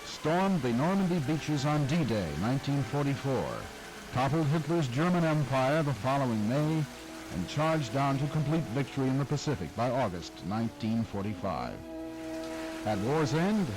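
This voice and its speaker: A man giving scripted documentary narration about old-time radio, smooth and wry, like a showman. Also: medium pitch, expressive, normal speed, over music, poor audio.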